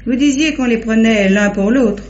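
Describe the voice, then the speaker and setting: A woman's voice: a little high, moderate pace, lightly acted. An actress playing a shrewd, self-assured lady investigator, speaking knowingly and articulately in old-fashioned radio drama style. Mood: politely probing. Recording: dull, faintly noisy old radio recording.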